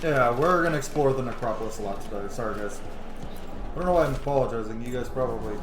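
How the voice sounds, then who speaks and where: A man giving excitable, casual gameplay commentary, blurting exclamations and swearing as he talks to himself and his viewers. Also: medium pitch, fast talker, background noise. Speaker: gaming video host. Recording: roomy echo, game music behind. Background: video game music.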